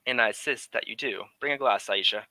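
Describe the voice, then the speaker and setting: A man's voice: mid-range voice, fairly quick, bright, forceful tone, dry recording. A man playing a breezy, cynical English aristocrat in exile, in a theatrical radio drama manner. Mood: genteel, insistent. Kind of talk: 1940s radio drama acting.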